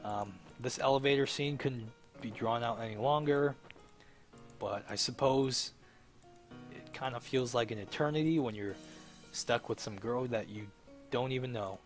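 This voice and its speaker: A man's voice: medium pitch, moderate pace. Male let's play host, mid-range voice, informal and jokey, music underneath. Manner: joking asides and exclamations over the story. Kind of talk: casual game commentary, reading aloud and reacting. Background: game music with plucked guitar.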